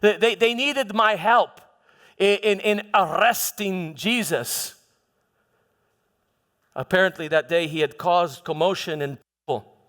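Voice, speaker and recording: Guy doing a troubled roman governor monologue, pretty high male voice, halting w/ repeated words, big echoey church hall. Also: a bit bright. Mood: uneasy recounting. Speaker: church dramatist performing a monologue. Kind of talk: first-person dramatic monologue in church. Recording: echoey hall with long reverb.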